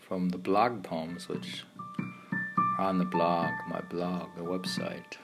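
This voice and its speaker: A man reading his own poems aloud in a halting, meditative way, with informal asides. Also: low-pitched, level tone, creaky, over loud music.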